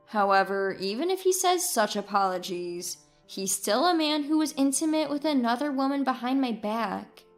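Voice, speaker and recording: A woman reading a scripted first-person story as its narrator. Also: high voice.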